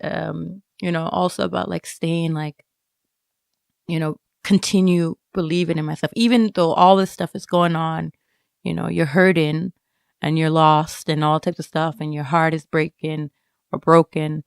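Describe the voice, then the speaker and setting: A woman giving a reflective personal interview, speaking haltingly and often dropping in "like" and "you know.". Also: low-pitched, fairly even intonation, vocal fry, smooth, no echo, studio quality. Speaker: interviewed writer.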